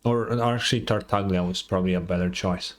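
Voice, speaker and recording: A man's voice: sits low, steady tone, quick pace. A man streaming a video game, giving casual, offhand commentary with sarcastic asides. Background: game music.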